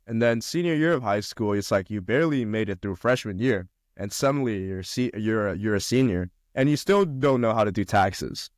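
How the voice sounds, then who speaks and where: A man's voice: fairly low pitch, normal speed, hardly any pauses, no room sound, clean studio sound. A young man from China, a podcast guest chatting casually about college life, with lots of fillers and restarts. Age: young adult. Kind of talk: casual conversation.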